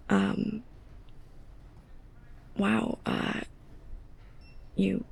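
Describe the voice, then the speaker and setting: A woman playing a sarcastic, flustered young librarian's assistant in an intimate ASMR roleplay, speaking softly and haltingly, often near a whisper. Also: medium pitch, completely flat delivery, gravelly, husky, heavily acted. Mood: flustered, hopeful. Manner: halting, awkward, self-conscious.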